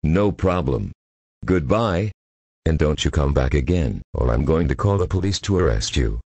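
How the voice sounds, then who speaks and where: A synthetic man doing a deep stern big brother. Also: expressive, moderate pace, darkish tone, husky, no room sound, heavily acted. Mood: curt. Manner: stern, scolding. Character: stern deep-voiced protective older brother. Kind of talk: computer-voiced fan cartoon.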